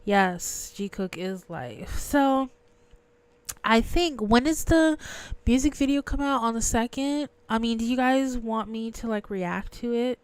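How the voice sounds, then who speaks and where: A woman's voice: a little high, a little slow, smooth, no echo. Stuffy, nasal young woman streamer. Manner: chatty, answers viewers' messages. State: stuffy nose from crying. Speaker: livestream host. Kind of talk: casual livestream chat.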